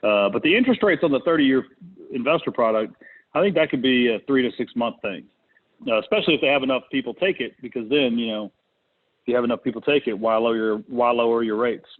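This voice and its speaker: A man hosting a business conference call in casual, unscripted talk, speaking hesitantly with thinking pauses. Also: mid-range voice. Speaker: conference call host, real estate investor. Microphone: conference call connection. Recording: muffled, narrow phone-line sound.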